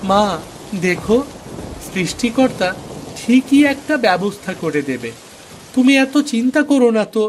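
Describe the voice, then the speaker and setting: High-voiced earnest village boy played by a man. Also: big pitch swings, measured pace, long pauses, a little hiss or hum. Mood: reassuring. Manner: earnest, reassuring. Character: poor village boy, dutiful, caring elder brother. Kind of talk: cartoon voice acting.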